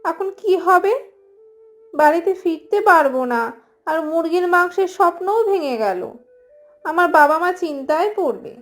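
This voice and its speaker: A woman's voice: extremely high pitch, expressive, a little slow, soft background music. A woman playing a frightened, reproachful little village girl in a cartoon moral story. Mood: upset, blaming.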